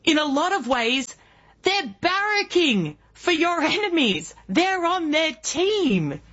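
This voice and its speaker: A woman in her early forties with an Australian accent, hosting political talk radio in an emphatic monologue full of rhetorical questions, with a husky voice. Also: sits high, big pitch swings, slow, a bit bright, muffled recording. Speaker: guest radio host.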